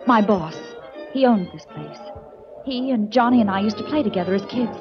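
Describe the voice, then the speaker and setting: A woman's voice: medium pitch, expressive, moderate pace, over music, lightly acted. Casting: a woman playing a young singer, earnest and pleading, mid-range voice, lo-fi radio drama. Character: young female nightclub singer, pleading and loyal. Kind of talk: 1940s radio drama acting. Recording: dull old radio recording.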